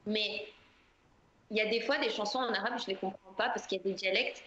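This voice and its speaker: A woman singer answering interview questions in a relaxed, chatty way, with many fillers. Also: medium pitch, a little fast, echoey room, muffled recording, a little hiss or hum. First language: French.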